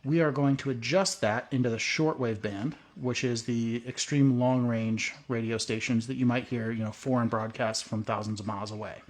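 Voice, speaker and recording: Man, casual tutorial, rambling, lots of filler words, workbench demo, mid-pitched voice. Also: steady tone, moderate pace, few pauses. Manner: loose and rambling, many "uh" and "um". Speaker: prepping channel host.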